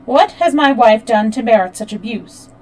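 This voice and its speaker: A woman reading the lines of a gentle, puzzled young bridegroom, mild and earnest. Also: a little high, lively intonation, moderate pace, soft background music, close to an everyday voice, low-quality recording. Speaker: audiobook reader. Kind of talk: read-aloud fiction dialogue.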